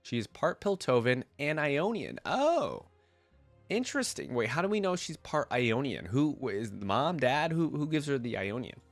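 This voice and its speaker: A man's voice: medium pitch, sing-song, moderate pace, dry recording, faint music behind. A man with a congested, nasal voice from a cold, reading aloud and adding casual asides as a reaction video host. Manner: informal, thinking aloud with asides. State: has a cold.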